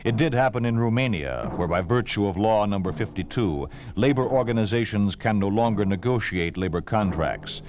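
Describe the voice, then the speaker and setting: A man's voice: lowish voice, expressive, normal speed, few pauses, darkish tone, highs cut off. An American man announcing from a script in formal, solemn, emphatic 1950s radio style. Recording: hissy, noisy 1950s radio recording.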